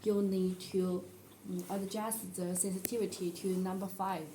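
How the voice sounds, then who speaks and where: A woman who speaks English as a second language, presenting a product tutorial in a halting, step-by-step way. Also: medium pitch, level tone, warm tone, slight background noise, rough recording. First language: not English. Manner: halting, step-by-step delivery with non-native phrasing.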